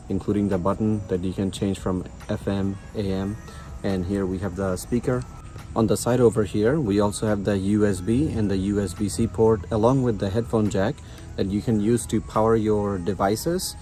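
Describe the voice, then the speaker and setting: Low, mellow-voiced man reviewing a product. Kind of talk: casual product review, demonstrating features. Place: outdoors on a residential front porch.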